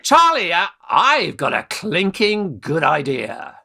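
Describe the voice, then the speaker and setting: Man as lovestruck young English student schemer for radio farce: bright, forceful, exclamatory, bossy, swooping in pitch. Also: wildly swooping pitch, takes it slowly, creaky, husky, exaggerated voice. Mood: excited, scheming. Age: young adult. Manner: exclamatory, bossy, jolly old-fashioned slang. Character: brash, scheming young Victorian Oxford undergraduate in love. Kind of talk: theatrical radio farce acting.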